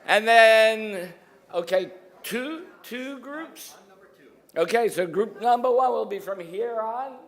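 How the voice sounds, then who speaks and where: A man's voice: high-pitched, expressive, slow. A man leading a congregation, giving spoken instructions for singing an opening hymn. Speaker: song leader at a church service. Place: church service before a congregation.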